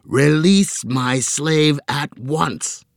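A man voicing a menacing part-human squid monster that hisses and snarls slowly. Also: on the high side, creaky, no room sound, clean studio sound, pitched above the performer's own voice, heavily acted. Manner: slow, hissing, snarling.

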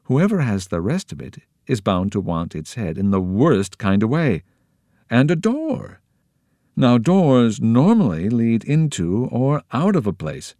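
A man voicing an eccentric, greedy adult male hermit with a sing-song manner that swoops up excitedly. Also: lowish voice, dry recording, clean studio sound, pitched above the performer's own voice.

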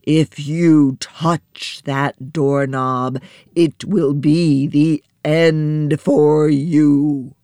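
A woman voicing a threatening, commanding giant in a storybook, with a booming, drawn-out delivery. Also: fairly even intonation, mellow, no echo, studio quality, heavily acted. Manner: slow, drawn-out menacing warnings.

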